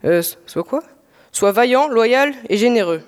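A woman's voice: fairly high pitch, animated, a little slow, clear, resonant tone, big-room sound. A woman playing a flustered, exasperated bartender in an audio drama, hesitant and exclamatory. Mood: hesitant, mock solemn.

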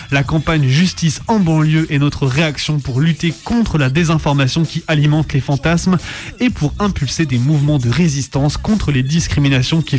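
Male radio presenter, slightly high voice, scripted documentary read in measured phrases, light music underneath.

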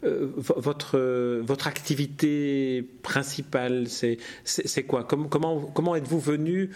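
Male interviewer, mid-pitch voice, formal, halting restarts. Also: fairly even intonation, darkish tone. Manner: searching for words, restarts his questions.

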